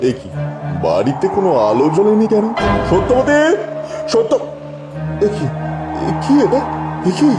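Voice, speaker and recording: Cartoon village husband male actor, jumpy and easily panicked, animated swings in pitch, music underneath. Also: measured pace, pauses often, heavily acted. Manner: agitated, repetitive. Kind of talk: animated moral story acting.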